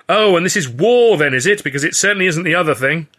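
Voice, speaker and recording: A man's voice: a little high, expressive, a little slow, a bit bright, husky, voice pushed up, exaggerated voice. A man voicing an indignant, combative male comedy performer in an audiobook story. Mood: outraged.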